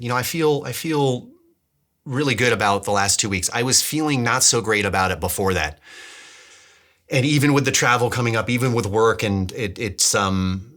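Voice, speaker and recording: A man's voice: medium pitch, pushed and bright. A man hosting a casual video devlog, talking informally and thinking aloud, often circling back and repeating words as he goes. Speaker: DIY filmmaker and vlog host. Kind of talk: casual devlog talk to camera.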